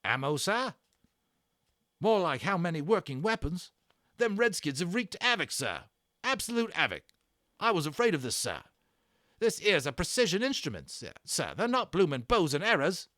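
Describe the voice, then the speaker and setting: Man putting on a high, excitable army corporal. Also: moderate pace, no room sound, studio quality. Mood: flustered, then exasperated. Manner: clipped military, exclaiming, respectful to superiors. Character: tiny British army corporal toy, deferential but grumbling.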